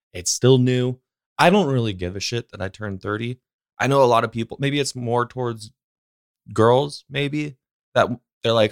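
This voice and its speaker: A man's voice: medium pitch, clear, resonant tone, no room sound, clean studio sound. A man in his thirties hosting a solo podcast, rambling in a casual, slangy, upbeat way and swearing freely. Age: 30s. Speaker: podcast host.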